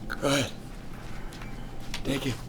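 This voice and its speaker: A man's voice: slow, close to an everyday voice. An actor at a table read playing a despairing, checked-out young man who talks in casual slang. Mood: numb, coaxing. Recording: noisy room recording of table read.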